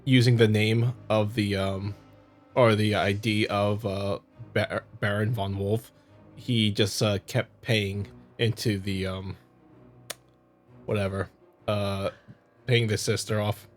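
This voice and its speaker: A man hosting a visual novel playthrough, reading narration and commenting casually in a halting, stumbling way. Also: on the low side, level tone. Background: music.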